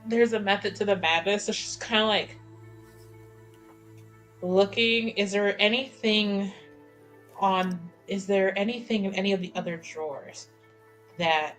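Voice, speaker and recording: A woman's voice: mid-range voice, unhurried, pauses often, fairly bright tone. A woman playing a tabletop roleplaying game, thinking aloud informally as she describes what her character does. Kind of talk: casual improvised roleplay chat. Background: background music.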